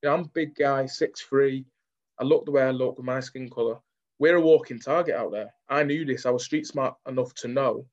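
A man's voice: medium pitch, a little fast, lo-fi sound. A young man from Manchester with a Mancunian accent, talking candidly as an interview guest. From Manchester, England.

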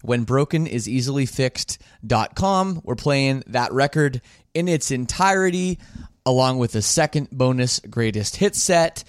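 A man's voice: mid-range voice, lively intonation, measured pace, dry recording, studio quality. A man hosting a casual podcast, upbeat and rambling, often restarting his sentences. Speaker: podcast host.

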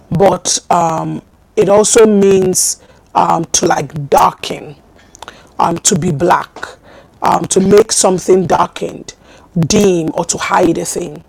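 Woman preacher teaching slow and steady, lots of ums, a bit raspy and gravelly. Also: fairly low pitch, dry recording, low-quality recording. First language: not Hebrew. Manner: measured teaching with frequent ums and repeated phrases. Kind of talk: teaching sermon.

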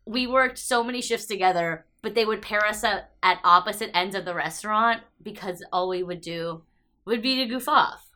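A young woman co-hosting a casual podcast, telling animated stories and acting out quotes. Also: medium pitch, normal speed. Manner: animated storyteller, acts out quotes loudly. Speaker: podcast co-host. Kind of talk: casual chat.

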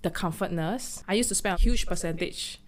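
Chatty young woman, low-pitched. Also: brisk. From Myanmar, raised in Singapore. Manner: chatty, relaxed, many fillers like "like" and "you know". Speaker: guest, co-founder of a shoe brand. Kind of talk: casual podcast interview.

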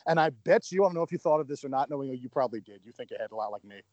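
A man's voice: medium pitch, lively intonation, very fast, a little mellow, dry recording, dull audio. Stammering, enthusiastic man on a podcast. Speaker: podcast co-host. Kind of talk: casual wrestling chat.

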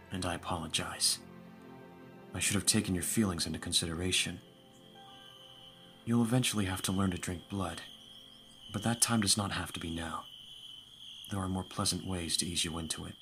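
Man performing a young vampire lord, deep voice, formal, imperious yet doting, measured delivery, audio roleplay, music underneath. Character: aristocratic young male vampire master, commanding yet doting. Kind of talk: ASMR audio roleplay acting. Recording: music behind the voice. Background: background music.

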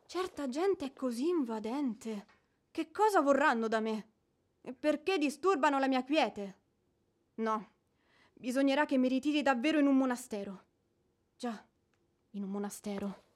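A woman's voice: high-pitched, measured pace, long pauses. A woman acting in a radio drama as an inconsolable, proud young widow, theatrical and declamatory. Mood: haughty, irritated. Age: young adult. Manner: declamatory, mournful.

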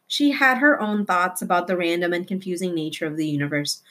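A woman with a somewhat low voice reads a children's novel aloud. Her delivery is expressive storybook narration. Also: brisk.

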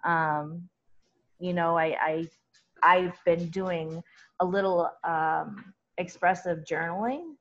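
A talkative woman with a loud voice, chatting as an interview guest and laughing often as she talks. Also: fairly low pitch, slow, highs cut off. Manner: chatty and laughing, with many restarts. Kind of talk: casual conversational interview.

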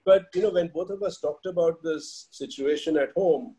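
An Indian-accented man in his forties with a fairly high voice. He tells stories conversationally as a guest, laying out his reasoning step by step in a reflective way. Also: normal speed, mellow, rough recording. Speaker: interview guest, HR leader. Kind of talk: conversational personal storytelling.

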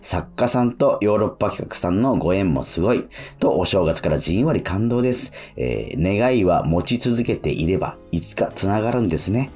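A man hosting a casual radio show, with a halting delivery full of drawn-out fillers. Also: low voice, expressive, darkish tone, faint music behind.

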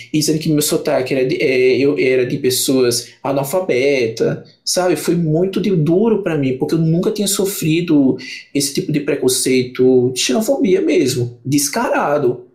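Casting: young man, somewhat high-pitched, rambling and casual, podcast guest. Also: animated, moderate pace, a little mellow. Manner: rambling, many restarts and fillers. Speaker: book channel creator, podcast guest.

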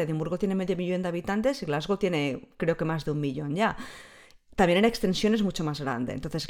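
A woman's voice: lowish voice, a little mellow. A woman in her thirties chatting as a podcast guest, explaining things fluently in long stretches. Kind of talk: casual conversation.

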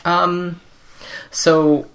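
A man's voice: high voice, little pitch movement, extremely slow, fairly bright tone. A young man whose first language is Cantonese, chatting casually in a laid-back way, full of fillers and hedges. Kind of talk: casual chat.

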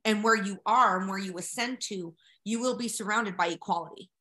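A woman's voice: medium pitch, fairly quick, pushed and bright. An American woman from Colorado talking casually and with strong opinions as an interview guest, brash and slangy in her straight talk. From Colorado, United States. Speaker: podcast guest.

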